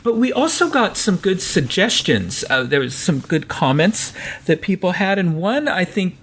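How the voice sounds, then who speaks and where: A man's voice: high-pitched, very animated, normal speed, a bit bright, slight background noise. A man with a General American accent co-hosting a podcast, chatting casually with lots of restarts and fillers. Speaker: podcast co-host.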